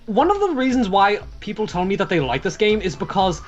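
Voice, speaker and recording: A man chatting casually while playing a game with a friend. He rambles, restarts his sentences and often hedges with "I guess." His pitch rises and falls a lot, and faint game music plays behind him. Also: sing-song, fairly quick, dull audio. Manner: rambling, self-correcting, frequent "I guess". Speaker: let's-play host. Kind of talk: casual co-op gameplay chat.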